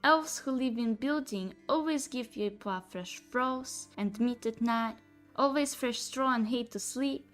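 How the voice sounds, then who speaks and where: A woman's voice: on the high side, measured pace, fairly bright tone, soft background music. A woman voicing a cheerful, simple-minded-sounding harpy who speaks eagerly in clipped, broken English in a fantasy story. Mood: fond, earnest. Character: cheerful simple-spoken female harpy with wing claws.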